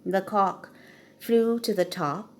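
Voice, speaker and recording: A woman reading a children's story aloud with expressive, dramatic emphasis. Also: medium pitch, takes it slowly.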